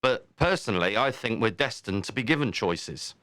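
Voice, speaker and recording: A man's voice: fairly low pitch, animated, moderate pace, a bit bright, no room sound. A man hosting a casual podcast interview, talking in a chatty, thoughtful, rambling way. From southern England. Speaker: podcast host.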